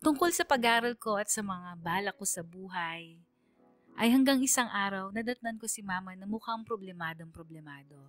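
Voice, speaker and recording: A woman's voice: medium pitch, normal speed, fairly bright tone, dry recording, soft background music. A woman narrating a scripted first-person radio story in a confiding, heartfelt way.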